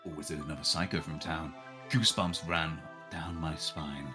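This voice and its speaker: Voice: very deep voice, fairly even intonation, moderate pace, over music. A streamer reading a visual novel's narration aloud in a dramatic way.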